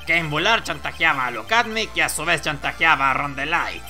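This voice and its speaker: A man voicing an old, solemn male judge from a video game, formal and deliberate. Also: a little high, sharp tone, faint music behind, voice pushed down.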